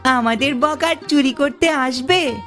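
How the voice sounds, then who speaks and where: Woman as a high-voiced, plucky village boy. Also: measured pace, fairly bright tone, faint music behind. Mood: gleeful. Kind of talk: cartoon family drama acting.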